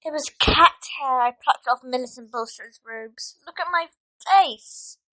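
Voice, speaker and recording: A woman's voice: high-pitched, a little slow, bright, forceful tone, exaggerated voice, poor audio. A woman playing an upset, mortified clever teenage witch in a fan audio drama. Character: distressed clever teenage witch girl, half turned cat.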